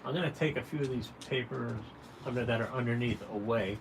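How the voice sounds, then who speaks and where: Low-voiced man chatting casually over noise. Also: brisk. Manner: encouraging, folksy, addressing viewers directly. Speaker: caricature artist hosting a drawing livestream. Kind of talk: casual drawing tutorial chat. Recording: clearly noisy room ambience.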